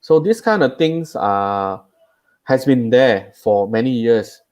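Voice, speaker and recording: A man co-hosting a casual chat, talking haltingly with repeated words as he gives advice. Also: mid-range voice, lively intonation, darkish tone.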